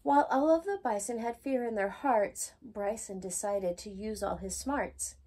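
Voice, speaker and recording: A woman reading a rhyming children's picture book aloud as a storytime host, with expressive, singsong emphasis. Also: mid-range voice, normal speed, husky.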